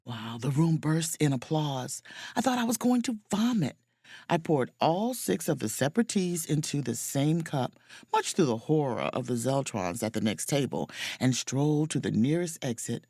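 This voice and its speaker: Woman as a starship mate, low voice, lively intonation, dry, sardonic, audio drama narration. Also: normal speed, husky, dry recording, exaggerated voice. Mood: wry. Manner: dry, sardonic, expressive storytelling. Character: wry, self-possessed female spaceship officer telling her adventure.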